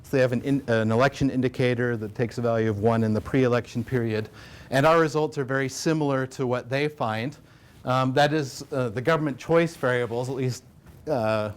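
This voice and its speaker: An American man presenting research at an academic conference, talking in a relaxed way with many fillers and restarts. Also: medium pitch, a little fast. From United States. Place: conference room.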